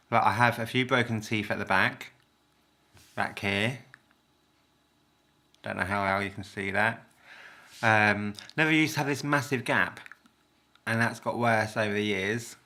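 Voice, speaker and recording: Man, bright forceful tone, slightly low pitch, casual vlog delivery, hesitant, thinking out loud. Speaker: vlogger reviewing a product. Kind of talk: casual unscripted talk to camera.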